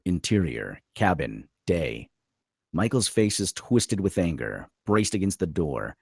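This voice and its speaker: A man's voice: low voice, very animated, a little slow, creaky, a little mellow, breathy edge, no room sound, studio quality. A man reading stage directions as dramatic scripted narration at a screenplay table read.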